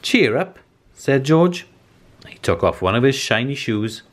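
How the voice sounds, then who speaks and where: A man narrating a children's storybook in an expressive, measured way. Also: medium pitch. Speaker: storybook reader.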